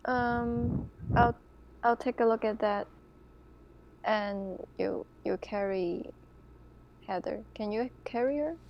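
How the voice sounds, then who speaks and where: A woman plays a tabletop roleplaying game, speaking very slowly with a gravelly fry in her voice. She is casual and hesitant, and the recording is dull and somewhat noisy. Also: fairly high pitch. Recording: dull lo-fi mic with background noise.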